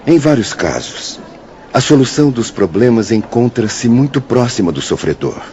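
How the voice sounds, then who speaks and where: An actor playing a solemn, patient spiritual instructor in an audio drama, moralizing in a measured, didactic way. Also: male voice, mid-range voice, very animated, warm tone. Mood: solemn, counseling. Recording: dull, noisy audio with ambience.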